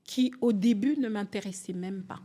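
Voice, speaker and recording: Animated woman in her forties from Angola. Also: medium pitch, moderate pace. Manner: animated, storytelling, restarts and acts out quotes. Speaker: podcast guest. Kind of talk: candid conversational interview.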